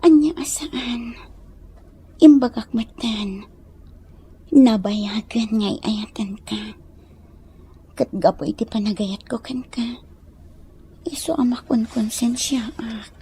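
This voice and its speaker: A woman acting a wistful woman in love in a Filipino radio drama, soft and breathy, with an Ilocano accent and a hesitant manner. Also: on the high side, takes it slowly, long pauses, heavily acted. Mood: troubled. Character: earnest, wistful woman in love named Paula.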